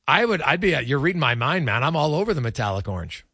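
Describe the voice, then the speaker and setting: A man hosting a casual sports talk show, speaking in a halting, repetitive way. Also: a little high, big pitch swings, pushed and bright, breathy edge, dry recording, studio quality.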